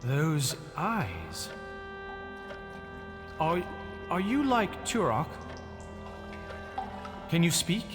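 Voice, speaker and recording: An actor playing an indignant, suspicious British banker in an audio drama, talking in a theatrical, exclaiming way. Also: male voice, a little high, wildly swooping pitch, drawn-out words, pauses often, exaggerated voice. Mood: wondering. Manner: theatrical, muttering to himself, exclaiming. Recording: loud music behind the voice. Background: music.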